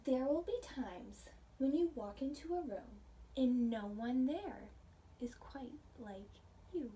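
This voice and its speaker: Woman, American accent, high gentle voice, child-directed read-aloud, simple explanations, distant mic with faint noise. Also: animated, slow. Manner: gentle, child-directed, asks the listeners questions. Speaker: pre-K teacher.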